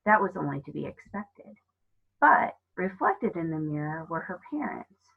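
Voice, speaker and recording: Female storybook narrator, lowish husky voice, expressive read-aloud delivery, slightly dull lo-fi recording. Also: moderate pace. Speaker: storybook reader. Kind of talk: scripted narration of a children's novel.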